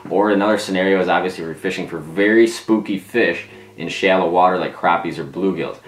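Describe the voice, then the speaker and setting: A man's voice: low-pitched, brisk. A man in his thirties giving a casual, informal explanation to camera as a video host. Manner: informal, conversational. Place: small domestic room at a table, indoors. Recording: roomy echo, music behind.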